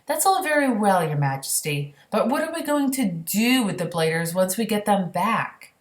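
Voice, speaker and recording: A woman plays a pompous storybook military man, grudging and indignant. The voice jumps high in bluster, in an echoey room. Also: big pitch swings, moderate pace, natural voice. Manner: huffy, pompous, exclaiming. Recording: roomy, echoey sound.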